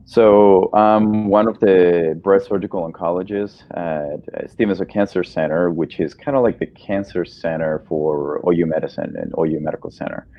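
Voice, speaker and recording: Very deep-voiced man in his thirties. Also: steady tone, normal speed, hardly any pauses, dull audio. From El Salvador. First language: Spanish. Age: 30s. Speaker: guest, breast cancer surgeon. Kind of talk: casual conversational interview answers.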